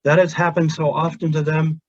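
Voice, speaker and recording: A male chaplain whose first language is Pennsylvania Dutch, giving a measured, earnest webinar talk with pauses and restarts. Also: a little high, level tone, no echo. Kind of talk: webinar presentation. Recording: dull, dry lo-fi audio.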